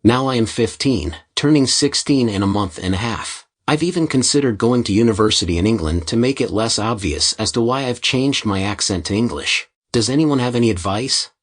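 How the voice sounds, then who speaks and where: A man narrating online posts as a steady, scripted story reading. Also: mid-range voice, brisk, hardly any pauses, slightly rough.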